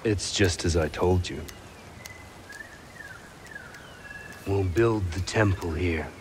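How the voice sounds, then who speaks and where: An actor playing a confident, impatient Jedi knight in a video game, with an earnest and assured delivery. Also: male voice, sits low, a little slow, noisy background. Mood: warm, sincere. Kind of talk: cinematic video game acting.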